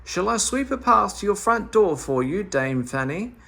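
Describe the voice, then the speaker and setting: A fussy, eager little meddler who pleads and frets in a bouncing, raised voice, as a man puts him on. His tone swings widely, with a husky, gravelly edge. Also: high-pitched, huge pitch swings, normal speed, a bit bright, pitched above the performer's own voice, heavily acted. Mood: eager pleading.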